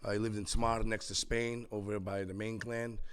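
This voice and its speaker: A man's voice: on the low side, a little fast, clean tone. A middle-aged man, a guest in a casual interview, talking animatedly about his family and repeating himself as he goes. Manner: animated, repeats himself, street-style talk. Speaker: interview guest, rapper and father.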